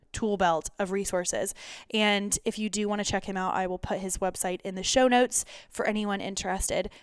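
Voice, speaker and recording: Casting: young woman podcast host, rambling and chatty, smooth clear tone, dry close sound. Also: mid-range voice, no echo. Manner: chatty, rambling, self-interrupting. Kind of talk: solo intro then interviewing.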